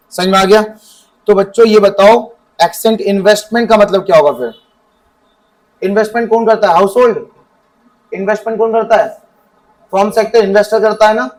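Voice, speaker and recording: Male teacher, high voice, quizzes the class and answers himself. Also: low-quality recording. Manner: asks students questions and answers them himself; reads definitions from the book slowly. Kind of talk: classroom economics lesson.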